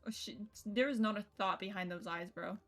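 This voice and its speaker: A woman streamer reading visual novel narration aloud in a playful, expressive storytelling way, with casual asides. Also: medium pitch, a little fast. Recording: music behind the voice.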